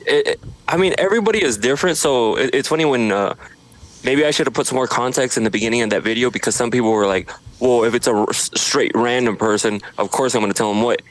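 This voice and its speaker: A man from Idaho, a social media creator, talking in a relaxed way with halting restarts from his studio. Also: medium pitch, low-quality recording. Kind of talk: casual interview chat.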